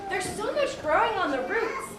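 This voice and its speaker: A woman's voice: very high-pitched, very animated, moderate pace, music in the background. A woman playing a curious, eager young female naturalist who observes with wonder in a children's puppet show. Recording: roomy distant live recording with clear noise.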